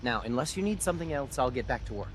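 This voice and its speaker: A man's voice: medium pitch, lively intonation, fast talker, over music, natural voice. An actor playing a suspicious, condescending male security director with a clipped, officious manner in a video game. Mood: stern, irritated. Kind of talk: video game dialogue acting.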